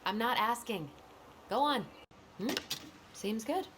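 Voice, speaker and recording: A woman's voice: on the high side, expressive, takes it slowly. An actress playing a thoughtful but firm, skeptical young woman in a naturalistic video game. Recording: faint background noise.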